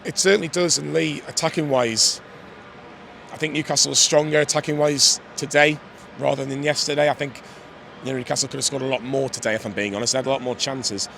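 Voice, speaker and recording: A hoarse man hosting a casual football fan chat outdoors, upbeat and asking questions. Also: mid-range voice, fairly even intonation, a little fast, a bit bright. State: slightly hoarse. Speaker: fan channel host. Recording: outdoor street noise.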